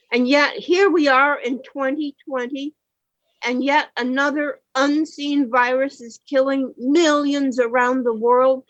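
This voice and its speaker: A woman's voice: sits high, muffled recording. A woman playing a present-day family member, speaking in an earnest, questioning way. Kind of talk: community radio play acting.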